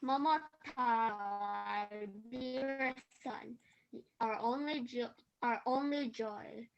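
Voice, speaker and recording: A devoted parent acted by a woman in an amateur folktale reading. The voice is somewhat high and moves very slowly, tender and sad. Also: creaky, dull audio, soft background music. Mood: tender, sorrowful. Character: devoted, tearful parent blessing an only son.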